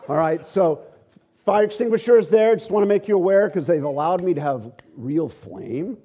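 A middle-aged man teaching at a church, relaxed and joking, with halting asides. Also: high voice, slightly rough. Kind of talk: conversational sermon.

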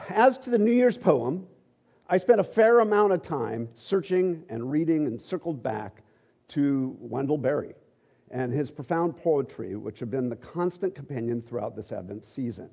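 A middle-aged man teaching at a church, relaxed and joking, with halting asides. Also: medium pitch, creaky. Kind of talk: conversational sermon.

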